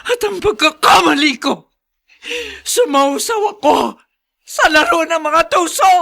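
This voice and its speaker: An actress playing the distressed, defensive wife of a politician in a Filipino radio melodrama, emotional and indignant. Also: female voice, very high-pitched, animated, unhurried, vocal fry, fairly bright tone, exaggerated voice. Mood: anguished, indignant.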